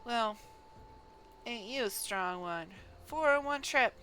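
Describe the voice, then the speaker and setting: Fantasy game coachman, performed by a woman: blunt, disconcerted, rustic phrasing, bright tone. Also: fairly high pitch, animated, takes it slowly, a little hiss or hum. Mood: blunt, taken aback. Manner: rustic colloquial speech.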